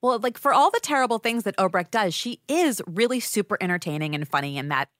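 A woman interviewer asks friendly, chatty questions full of casual fillers. Her voice is mid-pitched. It is recorded dry and close. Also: animated, quick pace, fairly bright tone, no room sound.

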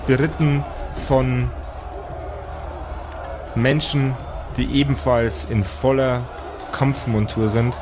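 A male game master tells a fantasy scene slowly and deliberately, breaking it into short dramatic phrases. His mid-pitched voice sits over prominent background music. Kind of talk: improvised dramatic narration for a tabletop audio play.

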